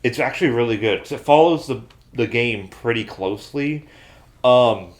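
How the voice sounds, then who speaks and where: A man's voice: mid-range voice, normal speed. An American man hosting a casual panel chat. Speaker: podcast host.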